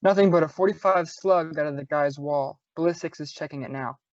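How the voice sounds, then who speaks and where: Male police inspector, easygoing and teasing, radio drama, played by a young woman in a lower register; dry, lo-fi sound. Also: brisk, a little mellow, dry recording, natural voice. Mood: guarded, teasing. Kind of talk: old-time radio detective acting. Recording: dull lo-fi audio, very dry.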